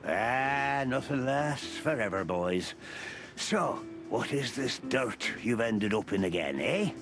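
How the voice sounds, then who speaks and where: A man's voice: mid-range voice, expressive, takes it slowly. An actor playing a weary, kindly old animal in a noir video game, folksy and fatherly, with music underneath. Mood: weary, wistful. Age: older.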